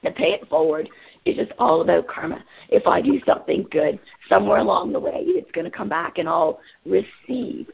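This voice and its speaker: A woman with a raspy voice today, leading a motivational group call in an upbeat, energetic way and asking the group questions. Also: on the low side, normal speed, highs cut off. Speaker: team call leader.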